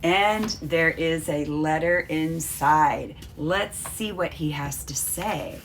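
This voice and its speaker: A woman's voice: deep voice, slow pace, a little hiss or hum. A woman reading a children's storybook aloud as a teacher, carefully explaining as she goes.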